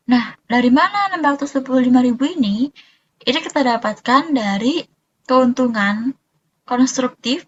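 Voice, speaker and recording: Young woman, fairly high voice, hesitant student presenter, slightly dull lo-fi sound. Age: young adult. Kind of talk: explanatory accounting presentation.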